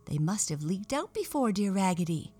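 A woman voicing eager, excitable little dolls who exclaim and echo in a children's storybook. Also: mid-range voice, moderate pace, husky, soft background music, pitched above the performer's own voice. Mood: agreeing.

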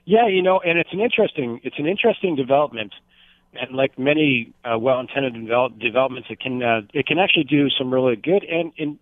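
A man's voice: mid-range voice, dry recording. A man with a cold speaks over a thin phone line, dry and narrow in sound. He is a family therapist, explaining at length as a radio guest. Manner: joking, then explanatory. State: has a cold.

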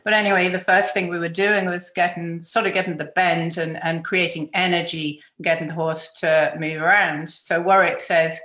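A woman's voice: on the low side, steady tone, moderate pace, hardly any pauses, fairly bright tone. A woman hosting a casual interview, telling her own story. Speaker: interview host. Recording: echoey room.

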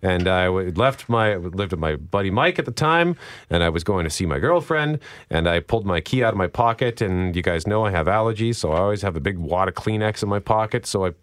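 A man's voice: low voice, animated, brisk. A man with a Canadian accent talking casually as a co-host on a radio morning show.

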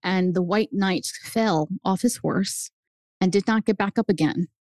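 A woman in her fifties whose first language is Spanish, telling her family story as a guest author, animated and jumping up in pitch for emphasis. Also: a little slow, no room sound. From United States. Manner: animated, swings up high for emphasis. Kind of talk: personal storytelling in an interview.

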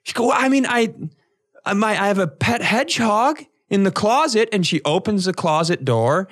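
A man co-hosting a comedy podcast, telling animated, casual stories and acting out the dialogue. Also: high-pitched, normal speed.

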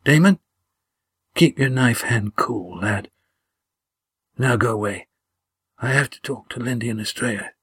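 A man voicing a gravely wounded man in an audiobook, breathy, labored and halting, gasping in pain, urgent but fond. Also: mid-range voice, no room sound, clean studio sound. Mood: pained, commanding.

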